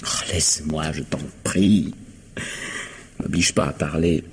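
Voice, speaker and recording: An actor playing a troubled, evasive student son in a French radio mystery, speaking in a weary, hesitant way. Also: male voice, very deep voice, fairly even intonation, slow pace, slight background noise. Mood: exhausted, evasive.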